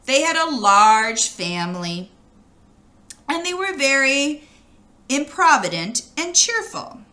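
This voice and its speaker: A woman reads a children's storybook aloud with lots of expression. Her pitch moves freely up and down in an animated way, and her tone is bright. She addresses the story to young listeners. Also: slow pace. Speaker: storybook reader.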